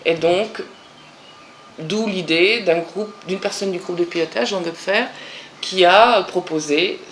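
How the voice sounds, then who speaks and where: A woman's voice: on the low side, gravelly. A woman speaking as a local project organiser, recounting how a project came together in an interview. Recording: roomy echo, faint background noise.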